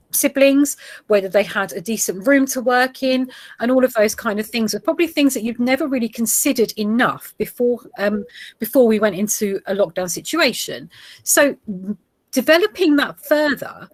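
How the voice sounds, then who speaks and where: A woman in her forties, a geography teacher with a Gujarati heritage, explaining ideas to other teachers in a steady way with small fillers. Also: fairly high pitch, normal speed, no echo. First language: Gujarati heritage, English now first. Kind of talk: presentation to teachers.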